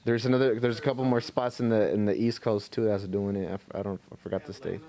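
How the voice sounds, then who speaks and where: Young man, low-pitched, casual podcast chat, relaxed and rambling. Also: quick pace. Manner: laid-back, swears casually, trails off with "you know what I'm saying". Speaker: podcast co-host.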